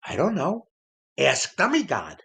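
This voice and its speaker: A man playing a gullible wooden ventriloquist dummy sidekick in a comic audio play, hesitant, agreeable and easily amazed, with a husky voice. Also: on the high side, wildly swooping pitch, a little slow, a bit bright, exaggerated voice.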